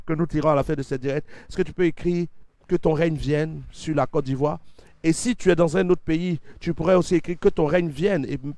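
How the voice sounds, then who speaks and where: A man preaching fervently on a livestream in a steady repetitive cadence, with a head cold. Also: medium pitch, no room sound. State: has a cold. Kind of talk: sermon with sung worship.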